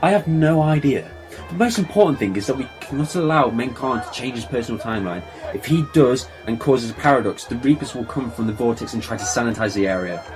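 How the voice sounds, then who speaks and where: A man's voice: mid-range voice, expressive, brisk, natural voice. A man playing a clever, self-assured time traveller in a fan audio drama, explaining things confidently. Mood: resolute. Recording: music bed behind voice.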